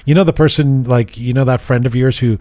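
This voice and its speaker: A man hosting a podcast, joking and animated in casual chat and reading sponsor spots. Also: medium pitch, fairly quick, dry recording, clean studio sound. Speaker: podcast host.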